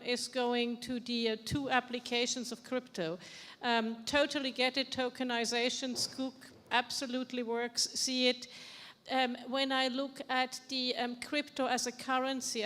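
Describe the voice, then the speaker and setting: A woman's voice: a little high, a bit bright. A woman in the audience of a large conference hall, asking questions into a handheld mic, hesitant with many fillers.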